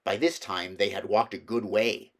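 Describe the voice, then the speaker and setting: A man's voice: lowish voice, steady tone, normal speed. A man reading a children's storybook aloud as a narrator. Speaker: amateur audiobook reader.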